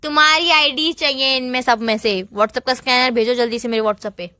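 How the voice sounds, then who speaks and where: Casting: a woman to play a nagging young girlfriend, sharp high voice, dry close sound, relentless interrogator in a phone-call drama. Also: moderate pace, no room sound. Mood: bossy, demanding. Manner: insistent, interrogating, repeating questions and demands. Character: suspicious, nagging young girlfriend grilling her boyfriend. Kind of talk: staged phone-call couple argument.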